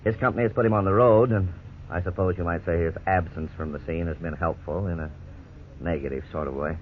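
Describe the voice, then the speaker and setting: A man plays a legal expert in a 1950s radio play, laying out a case in a calm, measured way. His voice is very deep, and the sound is thin and tinny with a faint hiss. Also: close to an everyday voice. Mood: explaining. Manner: measured, explanatory. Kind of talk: 1950s radio drama acting.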